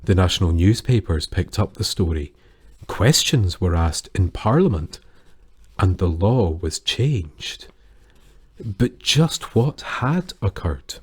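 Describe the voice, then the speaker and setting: Male narrator, deep and unhurried, measured storytelling with dramatic pauses, music underneath. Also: a little mellow. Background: music bed with guitar.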